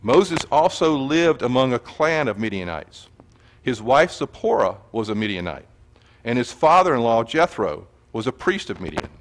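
A man gives an earnest sermon-style teaching and reads scripture aloud to a congregation. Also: medium pitch. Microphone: handheld or stand mic.

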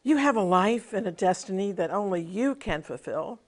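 A woman's voice: medium pitch, expressive, normal speed, a little hiss or hum. A middle-aged woman television minister giving a devotional teaching with a personal testimony, in an earnest, emphatic preaching cadence.

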